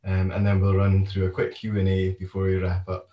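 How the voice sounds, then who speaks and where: Low flat-voiced man hosting, distant and roomy. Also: fairly quick, highs cut off. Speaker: event host. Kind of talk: moderating an online event. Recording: roomy sound, far from the mic.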